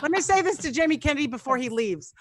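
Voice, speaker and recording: A woman's voice: high voice, expressive, fast, dry recording, studio quality. A woman comedian in a casual comedy chat, teasing and laughing often.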